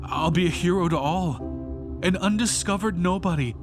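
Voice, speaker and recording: A man's voice: high-pitched, moderate pace, lightly acted. A man performing a bitter, self-righteous failed painter turned fanatical vandal in an intense, rhyming dramatic monologue. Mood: exhilarated righteous. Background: music behind the voice.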